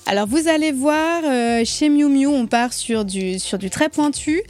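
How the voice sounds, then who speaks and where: An actress as a high-pitched, affected boutique saleswoman. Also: unhurried, darkish tone, soft background music. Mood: gushing. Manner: gushing, hesitant, mannered. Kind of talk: comic audio fiction acting.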